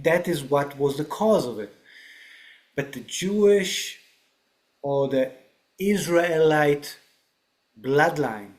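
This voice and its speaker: A man with a fairly high voice speaks unhurriedly, taking his time over each thought. He explains spiritual ideas in a reflective, teaching way and keeps checking that the listener is following with a quick "right?". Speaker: podcast guest. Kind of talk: spiritual discussion.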